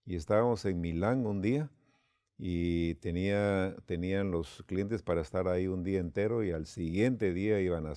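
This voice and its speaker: A man's voice: low voice, mellow, no room sound, clean studio sound. A man from Guatemala, telling a personal story unhurriedly with quoted dialogue, as a church leader.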